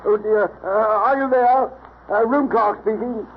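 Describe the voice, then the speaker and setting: A man's voice: high voice, a little slow. A man playing a polite, flustered hotel clerk in an old radio comedy. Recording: muffled, noisy old radio recording.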